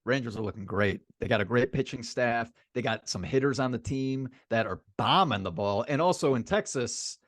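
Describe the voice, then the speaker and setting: A man co-hosting a sports podcast in casual banter, animated and repeating phrases for emphasis. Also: mid-range voice, normal speed, few pauses, no room sound, clean studio sound.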